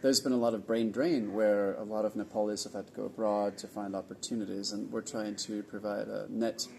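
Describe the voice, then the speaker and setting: A man from California hosting a casual interview at an event venue, easygoing and rambling with pauses. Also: fairly low pitch, level tone, vocal fry, darkish tone, slight background noise. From California, USA. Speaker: host of a nomad community event.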